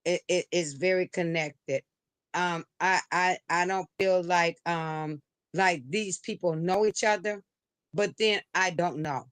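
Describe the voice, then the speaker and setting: A woman's voice: on the low side, fairly even intonation, unhurried, smooth, no echo. A woman calling into a livestream, speaking hesitantly with many restarts and fillers. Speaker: livestream caller. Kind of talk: call-in commentary. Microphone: remote call into a streaming studio.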